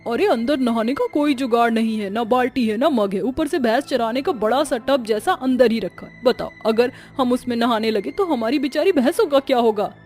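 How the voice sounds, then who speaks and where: A woman's voice: on the high side, normal speed, hardly any pauses, darkish tone, faint music behind, natural voice. A woman voicing a feisty, naive poor village daughter-in-law in a cartoon story, plain-spoken and protesting. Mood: baffled, protesting.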